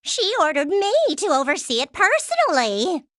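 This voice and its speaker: Cartoon game alien gem subordinate: high and very animated, prim, fussy, eager, theatrical and militant. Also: female voice, moderate pace, no room sound, clean studio sound, exaggerated voice. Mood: officious. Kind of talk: animated game cut-scene acting.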